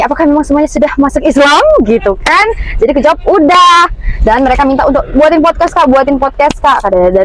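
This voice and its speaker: A woman's voice: a little high, a bit bright, a little hiss or hum, poor audio. A young adult woman, chatting informally and slangily as a vlog host. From North Sumatra, Indonesia. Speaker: vlogger, interviewer. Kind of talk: casual vlog chat.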